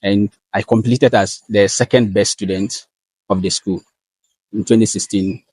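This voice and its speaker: A young man from northern Ghana with a Ghanaian accent, answering interview questions in an earnest, measured way. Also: lowish voice, steady tone, darkish tone, husky, dry recording, rough recording. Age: young adult. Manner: earnest and measured, with frequent fillers. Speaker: guest, valedictorian student.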